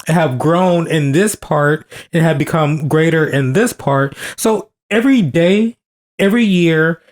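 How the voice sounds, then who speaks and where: Earnest man in his thirties, fairly high voice. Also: measured pace. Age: 30s. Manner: earnest, repeats himself for emphasis. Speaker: podcast co-host. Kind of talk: casual podcast conversation.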